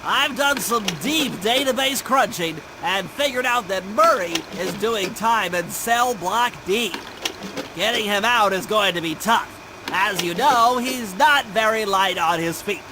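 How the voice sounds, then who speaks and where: Very high-pitched nerdy turtle genius. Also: male voice, a little slow, noisy background. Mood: earnest briefing. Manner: precise, earnest, bookish briefing. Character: nerdy, careful young male turtle gadget genius. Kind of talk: animated video game cutscene acting. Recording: loud music under the voice. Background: game music.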